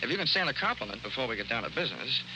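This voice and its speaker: A man's voice: medium pitch, big pitch swings, very fast, noisy background. A man acting a wry, flirtatious insurance investigator in 1940s radio detective style, with breezy wisecracks. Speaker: lead actor and narrator. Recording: old 1940s radio broadcast, music behind.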